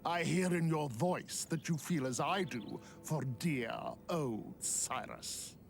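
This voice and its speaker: A man's voice: a little high, takes it slowly. A man playing a sly, mock-polite old necromancer in a video game cutscene, speaking in a theatrical, insinuating way. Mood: persuasive, scheming. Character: sly old necromancer, smooth-talking, mockingly gleeful schemer. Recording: music behind the voice.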